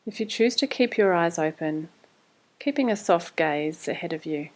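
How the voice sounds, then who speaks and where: A woman leading a guided body scan meditation, giving scripted instructions in a calm, measured, soothing way. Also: lowish voice.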